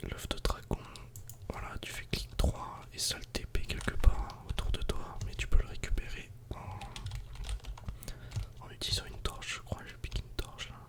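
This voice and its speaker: A man whispers a calm, casual ASMR game commentary in a breathy, unvoiced murmur, close to the microphone. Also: normal speed. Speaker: ASMR gaming video creator.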